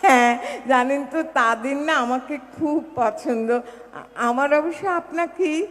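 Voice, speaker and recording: A woman's voice: high voice, a little slow, long reverb tail. A woman acting in an audio drama as a bold, coy young bride-to-be who chats, teases and makes playful demands. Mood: chatty, demanding. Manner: coy, chatty, playfully bossy.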